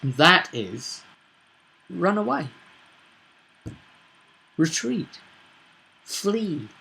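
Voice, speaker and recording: A man talking casually as a game master in an animated, blunt, rambling commentary. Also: high-pitched, extremely slow, vocal fry. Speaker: tabletop game master, video presenter.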